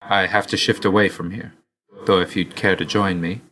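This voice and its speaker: An actor playing a reserved, dutiful god of death in a video game, speaking in a measured, formal, understated way. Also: male voice, sits low, steady tone, a bit bright. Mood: reserved, quietly fond. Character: male god of death, reserved, dutiful, weary, quietly fond. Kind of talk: video game character voice lines.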